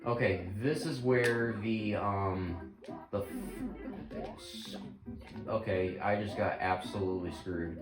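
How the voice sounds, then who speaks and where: A man with a fairly low voice talks his way through a game in a loose, rambling way, often stopping to correct himself. His voice is wearing out. The room rings with echo, and game music plays clearly behind him. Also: slow. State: losing his voice, clearing throat. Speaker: gaming channel host. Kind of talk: casual game commentary.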